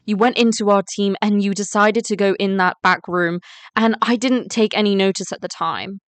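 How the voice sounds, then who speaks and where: Woman acting wary student girl, defiant, smooth clear voice, close dry recording, web story drama. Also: mid-range voice, fairly even intonation, moderate pace, no room sound, lightly acted. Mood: defensive, accusing. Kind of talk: first-person story narration and voice-acted dialogue.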